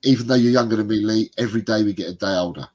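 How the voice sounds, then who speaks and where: A man's voice: fairly low pitch, rapid-fire, a little mellow. A middle-aged man, congested, co-hosting a football fan livestream and reading out viewer comments in a chatty, rambling way. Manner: chatty, rambling, laughs often. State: congested, bunged up.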